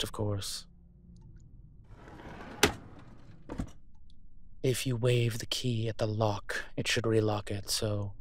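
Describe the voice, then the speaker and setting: A man playing a suave, teasing demon professor in an audio roleplay, with a wry, conversational delivery and a husky voice. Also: lowish voice, a little hiss or hum, natural voice. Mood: calm, instructing. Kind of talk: intimate audio roleplay acting.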